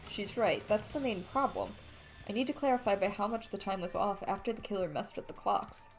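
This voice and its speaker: A woman's voice: mid-range voice, brisk. Young female streamer, mid-range voice, casual let's play chat and read-outs over faint game music.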